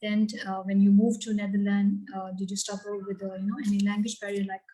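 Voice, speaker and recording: A woman's voice: mid-range voice, completely flat delivery, quick pace, warm tone, roomy sound, rough recording. A woman hosting an interview, asking long, rambling questions with many fillers. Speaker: interview host.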